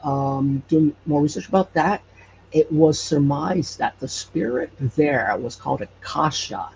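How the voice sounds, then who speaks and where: A man telling personal stories conversationally as a podcast guest, hesitant and often pausing mid-phrase. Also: fairly high pitch, gravelly, a little mellow. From Hawaii. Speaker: podcast guest, paranormal storyteller and investigator. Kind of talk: conversational personal storytelling in an interview. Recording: muffled lo-fi with faint background noise.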